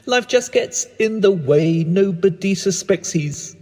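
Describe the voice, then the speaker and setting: A man performing narrative poetry in a theatrical, deliberate manner with dramatic pauses and emphatic repetition. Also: high-pitched, animated, dark tone, faint music behind. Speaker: spoken-word poet.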